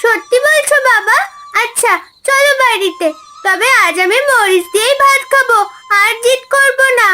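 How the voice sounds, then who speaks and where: A stubborn little village girl from a cartoon. The voice is extremely high and piercingly bright, pouting and whiny. Soft music plays behind it. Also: moderate pace, heavily acted. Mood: relenting, hopeful. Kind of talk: Bengali cartoon dialogue.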